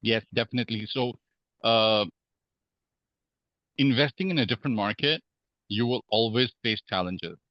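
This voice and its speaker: A man from Hong Kong, a podcast guest, speaking in a measured way with many fillers and restarts. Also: mid-range voice, dry recording, lo-fi sound. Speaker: guest real estate investor. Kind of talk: podcast interview.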